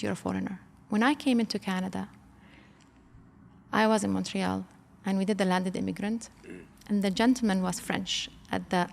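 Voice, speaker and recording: A middle-aged woman speaks with a gravelly, fry-edged voice, plainly and then with mounting force. Her words ring out with a long reverb, as if in a large hall. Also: mid-range voice, slight background noise. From Kuwait. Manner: impassioned, rising to emphatic outbursts. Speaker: interview guest. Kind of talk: emotional interview.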